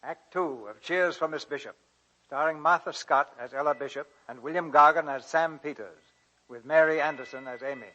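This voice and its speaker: A man's voice: a little high, moderate pace, bright, forceful tone. A man hosting and narrating a radio drama in formal, scripted 1940s style. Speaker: host and narrator. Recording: dull old radio, music underneath.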